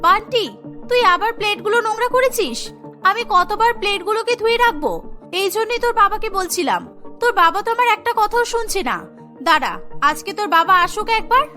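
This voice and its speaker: A woman doing a high, complaining housewife. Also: animated, brisk, bright, forceful tone, faint music behind, exaggerated voice. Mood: scolding. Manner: complaining, exasperated. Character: young housewife, tired and complaining.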